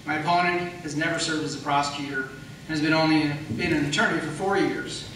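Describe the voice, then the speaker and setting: A man's bright, fairly high voice rings out in a very reverberant room, picked up at a distance from the mic. He speaks as a candidate in a political debate, pressing his points in an emphatic way. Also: fairly even intonation, brisk. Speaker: political candidate, incumbent county attorney. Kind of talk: campaign debate speech. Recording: echoey room, distant mic, faint noise.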